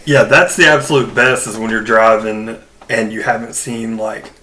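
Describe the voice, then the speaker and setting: A man's voice: lowish voice, steady tone, moderate pace, bright, forceful tone, poor audio. A man co-hosting a podcast, telling informal anecdotes with casual swearing. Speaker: podcast co-host.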